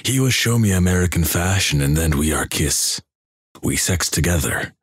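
A man's voice: low-pitched, husky, no echo, clean studio sound. A man voicing an anxious, guilt-ridden young male foreign student who speaks broken English with a fake Russian accent. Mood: earnest. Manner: broken halting English, earnest deadpan delivery.

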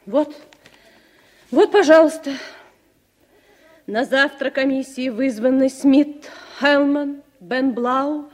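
A woman's voice: high-pitched, slow, pauses often. An actress playing a forthright, teasing woman in Soviet radio drama style, wry and playful. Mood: urgent.

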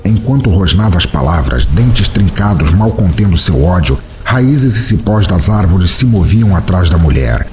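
A man's voice: low-pitched, expressive, normal speed, few pauses, a little mellow, slight background noise. A man with a Brazilian accent reading a scripted fantasy story as narrator.

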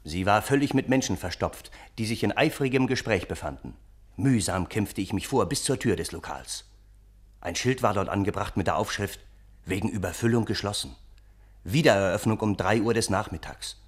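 An actor playing an earnest, indignant gentleman of old Russia, narrating a radio play in a literary, aggrieved way. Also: lowish voice, moderate pace, husky.

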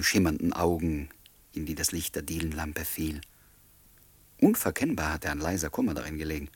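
An actor playing a courteous, pensive flat-sharer who narrates his memories in a German radio play, polite and measured, with a husky voice. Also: extremely low pitch, dry recording, full character voice.

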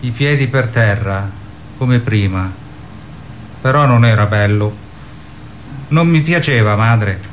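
Man playing slow, halting, childlike boy Cesare. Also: medium pitch, slight background noise, close to an everyday voice. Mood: wistful, musing. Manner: halting, stammering, repeats himself. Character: childlike simple-minded boy Cesare, timid, literal, rambling. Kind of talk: theatrical radio drama.

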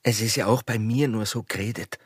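Husky, tormented Austrian border guard. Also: male voice, lowish voice, steady tone, no room sound, studio quality. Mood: tormented, resigned. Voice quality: very husky, breathy. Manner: brooding, halting, swinging from murmur to outburst. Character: tormented, infatuated border guard, orderly man unravelling. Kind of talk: German radio drama acting, intimate.

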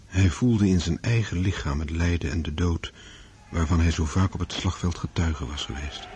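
A man as the sober storyteller of a war epic, reading scripted literary narration in a radio drama with a deep, dark, husky voice. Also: moderate pace, slight background noise, lightly acted. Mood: grave, measured.

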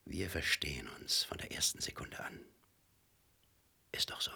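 An actor playing a rough, wheedling and cocky British crook in a German radio play, husky and slangy, swinging between hushed coaxing and loud bursts. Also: male voice, normal speed, in a whisper. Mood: coaxing, conspiratorial.

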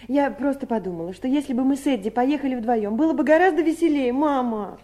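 An actress playing an eager, tender, innocent but spirited teenage girl in a 1970s Soviet radio drama, earnest and excitable. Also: high-pitched, fast, close to an everyday voice. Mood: anxious, pleading.

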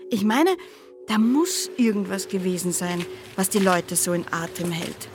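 An actress playing a reflective psychoanalyst in a radio drama, talking conversationally and asking herself questions. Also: female voice, mid-range voice, lively intonation, a little slow, lightly acted. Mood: reflective, wry. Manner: conversational, pondering, rhetorical questions. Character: reflective female psychoanalyst, musing wryly on history. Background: music.

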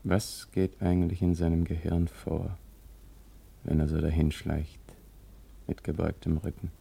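An actor playing a cynical, remorseful grown son in a brooding monologue from a 1950s German radio drama. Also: male voice, low voice, completely flat delivery, unhurried, creaky, mellow, slight background noise, full character voice. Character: cynical, remorseful grown son watching his failing father.

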